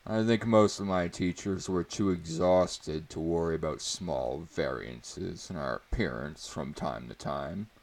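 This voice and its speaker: Very deep, gravelly male dramatic reader. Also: a little slow, hardly any pauses, a little mellow. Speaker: reader performing a book chapter. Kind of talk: dramatic reading of first-person prose, theatrical narration.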